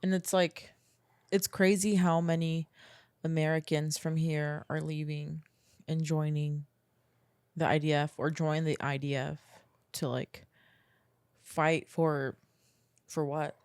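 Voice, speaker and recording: A woman's voice: low-pitched, level tone, takes it slowly, pauses often, a little mellow, clean tone, no room sound, clean studio sound. A young woman co-hosting a podcast, chatting casually and filling her sentences with "like". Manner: casual, heavy use of "like". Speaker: podcast co-host. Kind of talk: casual chat.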